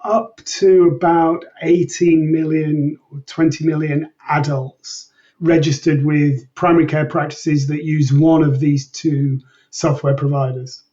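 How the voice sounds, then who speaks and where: A man with a slightly high voice explains things as an interviewed researcher, step by step and with care. His delivery is measured and precise. The sound is a little distant and dulled, as from a microphone set some way off. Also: level tone, mellow, muffled recording.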